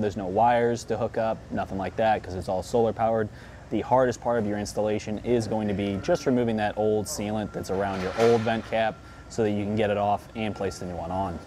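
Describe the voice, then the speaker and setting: A man's voice: on the low side, mellow, a little hiss or hum. A man in his thirties giving a casual how-to demonstration, talking conversationally as he works with his hands on a workshop floor. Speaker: product installation presenter. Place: large indoor workshop floor.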